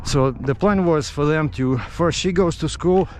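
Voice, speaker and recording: Slow-talking Russian-accented man outdoors. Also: fairly high pitch. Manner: halting, searching for words. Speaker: vlogger. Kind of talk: casual rambling storytelling. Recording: outdoor street noise with traffic.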